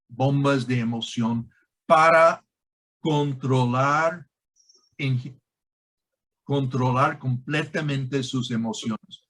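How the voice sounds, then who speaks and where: A man with a foreign accent who is not a native Spanish speaker, explaining as a guest expert in a halting, emphatic way with grammatical slips. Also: medium pitch, fairly bright tone. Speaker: guest expert on online scams. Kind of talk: explanatory conversation.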